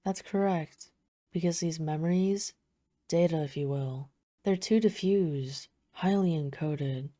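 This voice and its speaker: Woman acting as a poised vampire lady, deep feminine voice, soft-spoken, flirtatious, close dry sound, audio roleplay. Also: unhurried, no echo. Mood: wistful, hushed. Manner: soft-spoken, teasing, smooth formal phrasing. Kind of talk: intimate scripted audio roleplay addressed to the listener.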